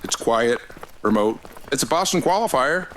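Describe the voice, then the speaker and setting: Eerie, animated older small-town man played by an actor. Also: mid-range voice, normal speed, slight background noise, natural voice, rough recording. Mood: sly, inviting. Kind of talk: audio drama acting.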